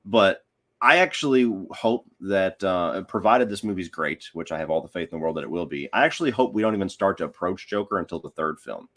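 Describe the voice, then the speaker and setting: A man's voice: deep voice, animated, brisk, a bit bright. A man talking casually and giving his opinions as a guest on a film podcast.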